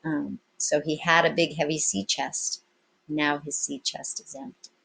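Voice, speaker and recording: A woman reading a story aloud expressively like a teacher, pausing for casual explanatory asides. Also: sits low, creaky, a bit bright, breathy edge, poor audio.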